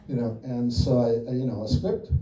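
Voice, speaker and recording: A film director answering audience questions after a screening, thinking aloud with lots of fillers and restarts. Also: male voice, mid-range voice, expressive, unhurried, warm tone, a little hiss or hum. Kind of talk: post-screening Q&A. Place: cinema auditorium. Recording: echoey theater from far off.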